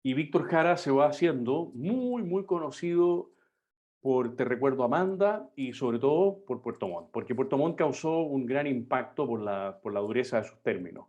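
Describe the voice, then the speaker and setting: A man's voice: medium pitch, lively intonation, normal speed, a little mellow, dull audio. An older man from Chile, a history professor giving a live online class in a loose, conversational lecturing manner full of fillers.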